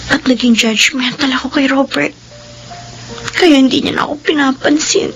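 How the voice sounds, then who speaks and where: An actress playing an emotional, remorseful, lovesick daughter in a Filipino radio drama, with a tearful, melodramatic delivery. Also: female voice, sits high, normal speed, fairly bright tone, low-quality recording. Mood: hurt, brooding. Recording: music bed under the voice.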